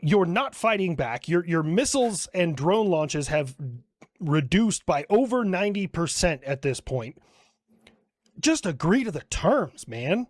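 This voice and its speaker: A man's voice: high-pitched, sing-song, a little slow, no echo, studio quality. A man delivering conversational satirical news commentary in a blunt, profane manner, as the creator of a comedy skit.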